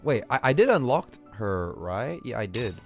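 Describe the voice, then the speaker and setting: A young male game streamer with a very animated delivery at a medium pitch. He rambles casually in slang with some swearing, over faint game music. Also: moderate pace. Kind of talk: casual livestream chat while gaming.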